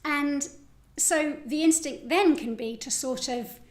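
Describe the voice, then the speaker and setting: A high female voice rings in an echoey room. She is a poet chatting at a live literary event, informal in her phrasing and quick to play herself down. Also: a little mellow. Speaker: guest poet. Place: indoor public event venue.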